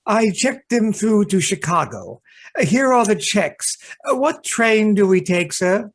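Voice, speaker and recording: Radio drama: male actor as sly, polite valet, halting and unhurried, slightly high-pitched. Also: sing-song, full character voice. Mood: deferential, nervous. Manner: hesitant, polite servant's delivery. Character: deferential, hesitant, secretly treacherous male valet. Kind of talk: amateur radio play acting.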